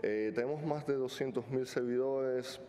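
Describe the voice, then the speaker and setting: A man's voice: fairly even intonation, moderate pace, mellow. A man in his thirties who is not a native speaker, giving a technical conference talk with many fillers and joking asides. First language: not Spanish. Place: large conference hall stage. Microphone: podium mic. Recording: hall reverb, faint rumble.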